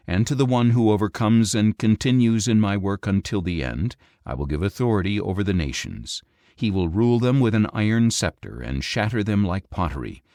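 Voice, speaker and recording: A man reading scripture as an audiobook narrator, in a solemn, measured, declamatory way. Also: low voice, darkish tone, no room sound, clean studio sound. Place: dry recording studio.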